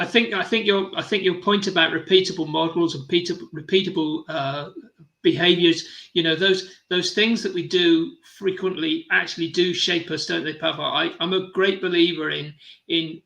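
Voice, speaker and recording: A man's voice: a little high, steady tone, normal speed, vocal fry, sharp tone. A man hosting a podcast interview, chatty and encouraging toward his guest. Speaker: podcast host, business mentor.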